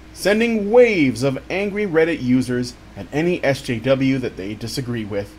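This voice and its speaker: Male narrator, higher-pitched and very animated, theatrical storytime delivery of written text, faint noise behind. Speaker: show host reading an article aloud. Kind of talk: dramatic storytime read-aloud of an article.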